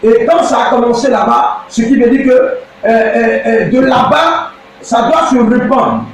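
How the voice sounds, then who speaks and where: A man from Côte d'Ivoire with an Ivorian French accent, preaching an impassioned address as a pastor, often shouting and drawing out words for emphasis. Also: very high-pitched, heavy reverb, distant mic, background noise. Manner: declamatory, often shouting, with slow emphatic stretches.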